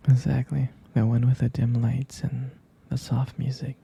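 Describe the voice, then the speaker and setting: Guy doing a protective jealous boyfriend asmr, super breathy n husky, slow tender whispering, really mellow w lots of crackly fry. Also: mid-range voice, completely flat delivery, heavily acted. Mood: fond, nostalgic. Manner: slow, halting, tender, confiding. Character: protective, jealous, devoted young boyfriend soothing his partner.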